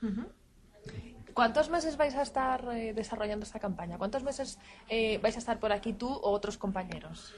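A woman's voice: fairly high pitch, moderate pace. A woman hosting a live interview, cheerful and steering the chat, with frequent short acknowledgements. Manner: cheerful, steering, says uju a lot. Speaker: interviewer host.

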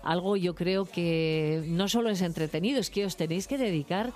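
A woman's voice: on the low side, moderate pace, a little mellow. A woman hosting an interview, chatty and effusive with her guests. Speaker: radio or TV host. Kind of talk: casual interview chat.

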